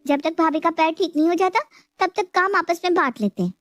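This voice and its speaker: A woman playing a sly, lazy younger daughter-in-law in an animated moral story, wheedling and petulant. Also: extremely high pitch, brisk, no echo. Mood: petulant, defiant.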